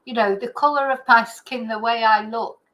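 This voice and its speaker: A woman's voice: fairly high pitch, steady tone, moderate pace, highs cut off. A woman with an English accent giving her opinions in a casual, rambling and emphatic chat, as the host of her own show. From Britain. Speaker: true crime chat host.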